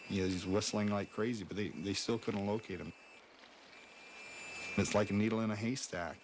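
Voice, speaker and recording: A man recalling events in an interview, halting and repeating words as he goes. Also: on the low side, fairly even intonation. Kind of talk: interview recollection. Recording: faint background noise.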